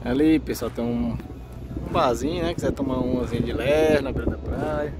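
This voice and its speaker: A man's voice: medium pitch, normal speed, music in the background. A man in his thirties with a Brazilian accent, casually narrating a travel vlog outdoors into a handheld phone. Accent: Brazilian Portuguese. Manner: relaxed, chatty, addresses viewers as "pessoal". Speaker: travel vlogger. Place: outdoors on trails and beach, windy. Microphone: handheld phone camera.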